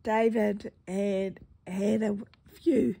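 A woman with a New Zealand accent chatting casually in a stitching vlog, rambling through a story and often clearing her croaky throat. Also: medium pitch, fairly even intonation, drawn-out words, mellow. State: croaky morning voice. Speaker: cross-stitch vlogger.

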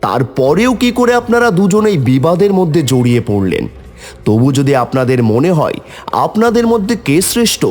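A man's voice: on the high side, a little mellow, slightly rough, long reverb tail, pitched above the performer's own voice. A man voicing a solemn supreme god as a grand, admonishing heavenly voice in a cartoon myth. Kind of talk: mythological cartoon dialogue.

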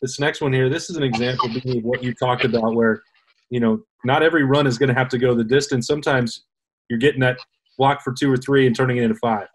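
A man in his thirties with a middle-pitched voice hosts a sports talk show over a video call. He chats easily, tees up questions and introduces the tape. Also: steady tone, quick pace, slightly rough, poor audio. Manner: conversational, sets up questions and clips. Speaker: host, sports reporter. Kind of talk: casual sports talk interview.

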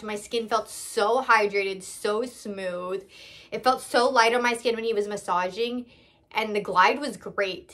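A woman's voice: fairly high pitch, a bit bright. A young woman talking casually and candidly through a product haul, thinking aloud as she goes. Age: young adult. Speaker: salon owner vlogger. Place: small indoor room.

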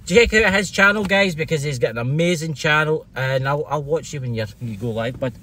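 A man's voice: on the high side, fairly quick. A middle-aged Scottish man hosting a casual vlog, chatting and teasing jokily with a friend. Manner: jokey, teasing banter. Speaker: vlog host. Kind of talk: casual livestream chat with a friend. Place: inside a parked car. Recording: noticeable background noise inside car.

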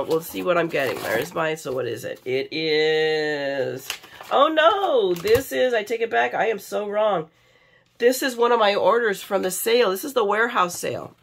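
A middle-aged American woman, sick and low on energy, chatting casually and rambling in a confiding way for a craft vlog. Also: a little hiss or hum. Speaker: craft vlogger. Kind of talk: casual chat in an unboxing vlog. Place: indoors at a craft table.

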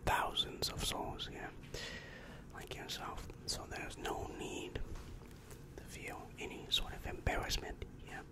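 A young man playing a calm, reassuring demon guidance counselor in an ASMR roleplay, whispering breathily and patiently explaining, close to the microphone. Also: lightly acted. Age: young adult. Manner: slow, soothing, patient explaining. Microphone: close-up microphone with foam windscreen.

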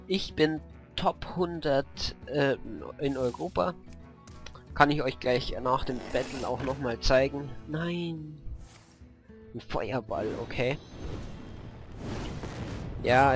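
A man with a hoarse voice from a cold, at an ordinary middle pitch, talks through a card game as a casual commentator. He weighs each move aloud and pauses on fillers. Faint music plays underneath. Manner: thinks aloud, weighing moves, frequent fillers. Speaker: gameplay commentator. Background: faint background music.

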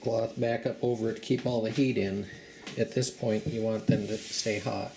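A man walks through the steps of a home recipe in a plain, matter-of-fact way. His voice is gravelly, and clear background noise runs under the home camera audio. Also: fairly low pitch, fairly even intonation, normal speed, a little mellow. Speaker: home cook. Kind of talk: cooking demonstration. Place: home kitchen.

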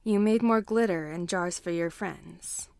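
A woman voicing a weary, gruff but kindly male daycare teacher in a story. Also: fairly low pitch, unhurried, darkish tone, dry recording. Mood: patient.

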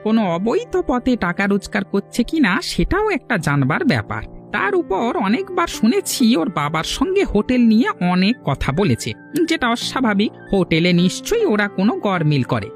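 A nosy young college lad with a lively, swooping delivery, always suspecting his friend of something shady, as an actor plays him. Light music sits under the voice. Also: medium pitch, a little fast. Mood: suspicious. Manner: suspicious, prying. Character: suspicious young male college student.

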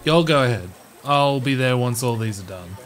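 A man's voice: medium pitch, normal speed, lightly acted. A man playing a good-natured, competitive big brother in a roleplay audio drama, bantering and teasing. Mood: cheerful, smug. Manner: bantering, animated.

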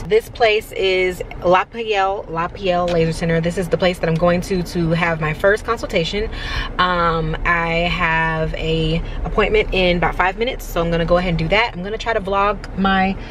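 A woman's voice: on the low side, fairly bright tone. Chatty woman vlogging from a car. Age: 20s. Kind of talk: casual vlog chat to camera. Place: parked car. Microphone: phone camera at arm's length. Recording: noisy car with air blowing.

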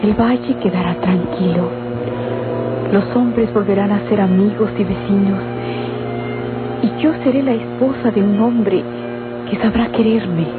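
A woman's voice: mid-range voice, a little slow, long pauses, lightly acted. An actress with a Mexican accent playing a dutiful, resigned young countrywoman in a radionovela, speaking formally and earnestly. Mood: resolute, self-sacrificing. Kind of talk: golden-age radionovela acting. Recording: tinny vintage radio recording, loud music.

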